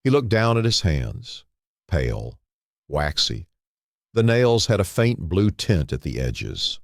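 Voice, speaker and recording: A man narrating a scripted dramatic story in short, clipped phrases with dramatic pauses. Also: low-pitched, sing-song, vocal fry, no room sound, studio quality.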